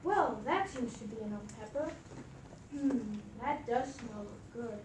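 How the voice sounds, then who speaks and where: Puppet show role: clever, easygoing, tired stranger woman acting, high-pitched, heard far from the mic in an echoey room. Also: lively intonation, a little slow. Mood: pleasant, grateful. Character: friendly, weary traveling stranger, clever and easygoing. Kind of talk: puppet show read from a script. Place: echoey indoor meeting room at a library. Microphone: far camera microphone. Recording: distant mic, echoey room, noisy background.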